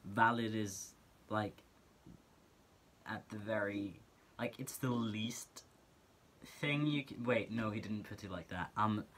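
A man's voice: fairly low pitch, slow, long pauses, a bit bright, a little hiss or hum. A teenage guy from Norway with a Norwegian accent, talking earnestly and casually to camera as a vlogger, full of filler words, in a small bedroom. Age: teens.

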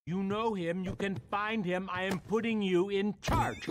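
Male alien captain, cartoon film, very high voice, pompous, cowardly, theatrical orders. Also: fairly even intonation, unhurried. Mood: commanding. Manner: pompous, theatrical commanding delivery.

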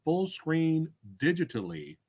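Livestream host: middle-aged man, husky, highly animated, slangy and enthusiastic, loose casual chat. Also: takes it slowly. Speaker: livestream host and film reviewer. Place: small indoor room crammed with collectibles. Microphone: desk microphone close in front.

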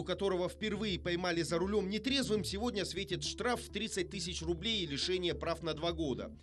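A male reporter reading a scripted news voice-over with a wry, ironic touch. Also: high-pitched.

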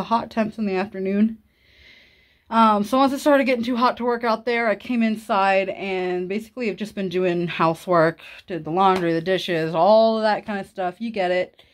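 A young adult woman vlogging at home, chatting casually and informally to the camera in a rambling way. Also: mid-range voice. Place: small room at home, indoors.

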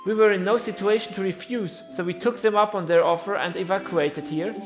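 A man who is not a native English speaker reads video game dialogue aloud in a theatrical, slightly halting way. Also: sits high, music in the background. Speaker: game playthrough creator voicing all characters.

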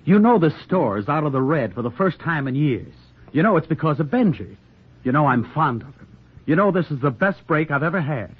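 Plainspoken young drugstore owner, wounded, slightly high male voice, 1940s radio drama. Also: animated, brisk, breathy edge. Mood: bitter, reproachful. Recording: muffled, tinny 1940s radio recording.

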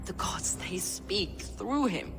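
An actress playing a smug, fanatical villain in a video game, mocking and theatrical with false gentility. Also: female voice, medium pitch, animated, takes it slowly, in a large hall, over music. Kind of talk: dramatic video game acting.

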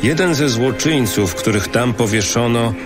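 A man's voice: mid-range voice, a little slow. A man giving solemn scripted narration for a scripture drama. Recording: loud music bed behind.

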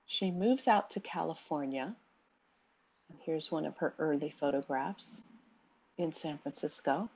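A woman lectures in a measured, steady voice over a sequence of slides. Her voice sits low and is somewhat husky. The recording is dull and lo-fi. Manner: measured, reads quotes aloud, occasional ums.

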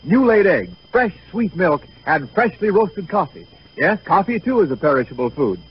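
A man announcing a scripted 1930s radio advertisement with emphatic, deliberate phrasing. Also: sits high, big pitch swings, breathy edge. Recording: muffled 1938 radio broadcast.

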